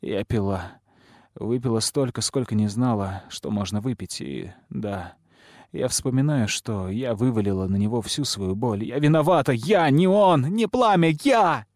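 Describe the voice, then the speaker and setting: A man's voice: on the low side, unhurried, darkish tone, dry recording, studio quality. A man voicing a grieving widowed noblewoman in an audiobook, pleading and full of remorse. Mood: remorseful grief. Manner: anguished, pleading. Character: proud, grieving widowed noblewoman, guilt-ridden mother.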